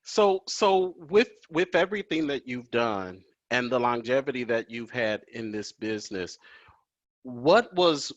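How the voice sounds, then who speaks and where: A man's voice: mid-range voice, expressive, measured pace, dry recording. A man hosting a home video-call interview, admiring and deferential, often answering "yes, ma'am". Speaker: talk show host. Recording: dull dry video call audio.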